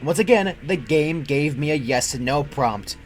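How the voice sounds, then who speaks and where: A man's voice: on the high side, lively intonation, normal speed, faint music behind. A young adult man reading a scripted first-person creepypasta as its narrator. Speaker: horror story narrator.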